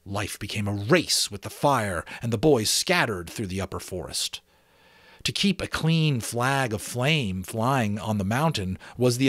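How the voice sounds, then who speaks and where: Expressive man narrating with lively pitch. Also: no echo, clean studio sound. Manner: theatrical, expressive. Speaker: amateur audiobook narrator. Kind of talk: dramatic storybook narration.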